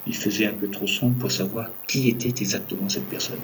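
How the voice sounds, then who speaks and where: A man narrating a scripted audio drama as a storyteller, in measured short phrases. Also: on the low side, fairly even intonation, slight background noise, rough recording. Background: music.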